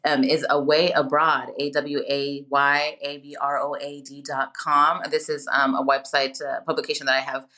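A woman's voice: sits low, moderate pace, hardly any pauses. A woman in her forties, a guest on a casual podcast interview, talking about travel with emphatic bursts and frequent laughter. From United States, near Mexico. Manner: animated, laughs often, emphatic.

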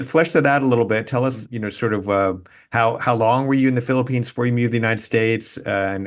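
A man hosting an interview, asking long rambling questions with repeated words. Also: on the low side. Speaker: host.

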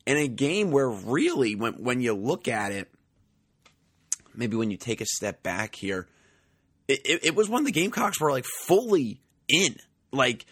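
A young man, hosting a sports podcast in a casual, chatty monologue, his voice slightly raspy. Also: medium pitch, a bit bright, dry recording. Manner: chatty, conversational, many fillers. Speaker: podcast host.

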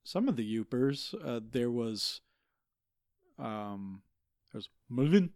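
A man playing a halting, flustered expert. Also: medium pitch, expressive, a little mellow, no room sound, clean studio sound. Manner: halting, full of fillers and restarts. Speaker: guest expert. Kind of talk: improvised comedy podcast.

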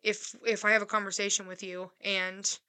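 Smooth, very bright-voiced woman in her twenties. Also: medium pitch, normal speed. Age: 20s. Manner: earnest, reassuring, speeds up when excited. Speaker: podcast co-host. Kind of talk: casual chat.

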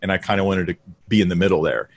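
A man's voice: deep voice, racing. A man who is a pastor and Bible teacher, explaining his work earnestly as a guest on a video call. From Southern California. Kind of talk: interview guest answering questions.

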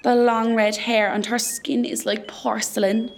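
An actress with an Irish accent playing a sassy, gossipy, self-mocking hospital worker in a comedy radio play. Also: female voice, a little high, little pitch movement, unhurried, a little hiss or hum. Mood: sassy banter. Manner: sassy, dramatic.

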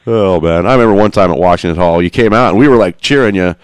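A man hosting a casual podcast interview, relaxed and chatty, laughing often and swearing casually. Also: low-pitched, quick pace, smooth, dry recording. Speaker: podcast host.

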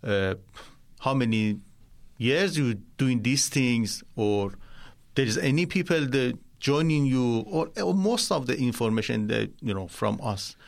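A man from Iran with a Persian accent, a guest in a radio studio interview, speaking in halting, simple phrases. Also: medium pitch, animated, creaky.

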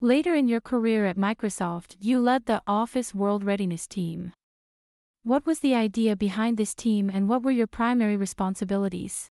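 A woman hosting a podcast, reading a scripted introduction and asking interview questions in a clear, even way. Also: medium pitch, moderate pace, no room sound, studio quality. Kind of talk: scripted narration.

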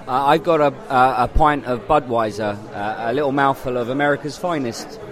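A man's voice: mid-range voice, a little fast. Man chatting over busy pub crowd. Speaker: pub review guest. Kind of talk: casual pub chat.

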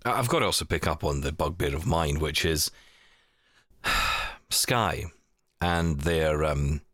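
A man's voice: rumbling low, a little slow, fairly bright tone, no room sound, clean studio sound. A man hosting a casual podcast chat, steering the talk with questions. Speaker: podcast host.

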